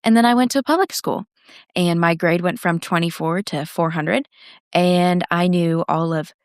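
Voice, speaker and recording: A teenage girl, co-hosting a podcast in casual, chatty and loud conversation. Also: fairly low pitch, normal speed, dry recording, studio quality. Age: teens. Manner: chatty and loud, laughs between stories. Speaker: podcast co-host. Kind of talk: casual chat.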